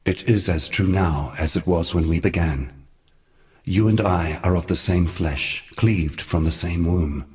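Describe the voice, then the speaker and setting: Deep-voiced, solemn, noble god. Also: male voice, darkish tone. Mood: forgiving, earnest. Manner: solemn, measured, lofty archaic speech. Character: grave, noble brother god, guiding mentor of exiles. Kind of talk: dramatic fantasy game voice acting.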